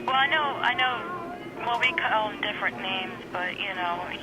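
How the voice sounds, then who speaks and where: A woman's voice: mid-range voice, normal speed, heavy background noise. A woman calling in to a radio show, chatting casually and hesitantly, often repeating her words. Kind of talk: casual call-in conversation.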